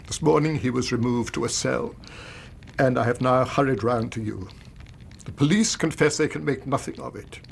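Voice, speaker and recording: A man's voice: mid-range voice, moderate pace, creaky, a little hiss or hum, natural voice. An actor playing a distraught middle-aged Victorian banker and father in a radio drama, formal and agitated, with an English accent. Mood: grave recounting.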